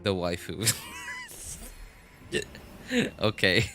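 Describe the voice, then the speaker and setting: Low, bright-voiced man streaming games. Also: fairly even intonation, drawn-out words, soft background music. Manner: addresses chat with repeated questions. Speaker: livestream host. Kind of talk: casual gaming stream chat.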